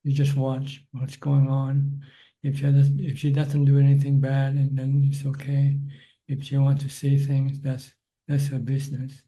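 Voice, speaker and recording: Calm dark-voiced monk on a video call. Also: male voice, medium pitch, low-quality recording. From Thailand. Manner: calm, plain, unhurried advice. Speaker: Buddhist monk answering questions. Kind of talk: spiritual advice on a video call.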